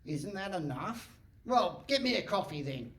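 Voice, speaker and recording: An actor playing a curt, pompous and impatient police inspector in a comic radio play on stage. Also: male voice, high-pitched, sing-song, measured pace, fairly bright tone, heavily acted. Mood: bossy, pompous. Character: bossy, impatient, exasperated male police inspector. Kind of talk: comic live radio theatre. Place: hall stage before live audience.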